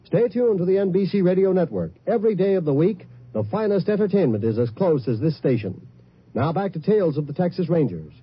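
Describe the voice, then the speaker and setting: A man's voice: high-pitched, sing-song, a little fast, breathy edge. A man announcing network radio promotions in a polished, emphatic 1950s style. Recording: slightly dull vintage radio broadcast.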